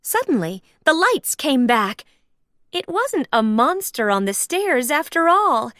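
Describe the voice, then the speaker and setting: A woman narrating a children's storybook aloud in an expressive read-along style. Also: sits high, slow pace, no room sound, clean studio sound.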